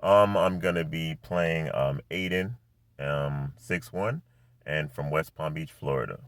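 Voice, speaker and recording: A man with a deep voice introducing himself before a scene in a relaxed, halting way. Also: no echo. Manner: relaxed, hesitant with fillers. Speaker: actor introducing an audition. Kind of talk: casual self-tape slate.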